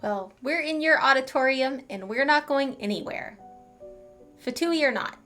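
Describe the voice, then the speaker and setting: A woman's voice: high voice, animated, normal speed, a bit bright. A woman gaming streamer giving casual, playful, chatty commentary as she reacts to a playthrough. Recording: game music behind the voice.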